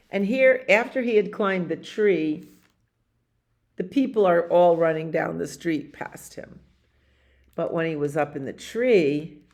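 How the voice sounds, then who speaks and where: A woman in her sixties reading aloud at storytime in an expressive way, with chatty asides about the pictures and questions for her listeners. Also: mid-range voice. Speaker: library storyteller reading picture books to children. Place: library activity room, indoors.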